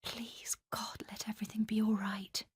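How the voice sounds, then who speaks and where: A woman's voice: mid-range voice, flat delivery, normal speed, husky, no room sound, studio quality. A woman voicing a naive, timid girl just out of school in a radio story, polite and girlish. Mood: pleading whisper.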